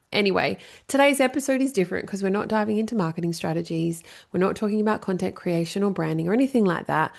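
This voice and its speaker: A rambling, chatty Australian woman in her thirties hosts her solo podcast with a slightly low voice. Her throat is still a touch croaky, and she fills her talk with "you know" and "like". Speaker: podcast host.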